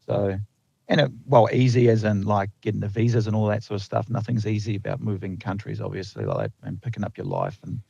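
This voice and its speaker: A man's voice: fairly low pitch, expressive, a little fast, gravelly, warm tone, breathy edge. A Dutch-born man with a slight New Zealand accent, a lineman chatting as a podcast guest, rambling with lots of fillers and restarts, in a slightly lo-fi recording. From Netherlands. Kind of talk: casual interview chat.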